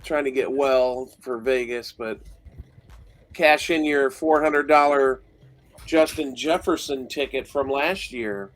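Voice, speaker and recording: A middle-aged man with a nasal, congested voice co-hosting a fantasy football show, talking casually and a little haltingly. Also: medium pitch. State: congested with a cold. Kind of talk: casual sports rankings talk.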